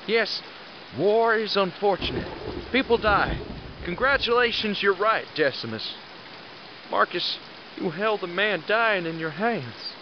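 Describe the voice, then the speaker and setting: Man as animated, blunt military squad leader. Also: high voice, unhurried, dull audio, background noise. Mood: stern, exasperated. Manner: commanding, blunt. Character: blunt middle-aged squad leader, gruffly fatherly and sarcastic. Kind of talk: audio drama acting.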